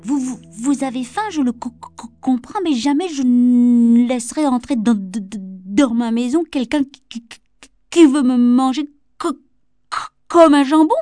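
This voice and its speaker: Woman as a high, stammering, frightened little pig. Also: unhurried, dark tone, no room sound, soft background music. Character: timid little male pig, terrified yet refusing. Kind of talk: storybook character voice.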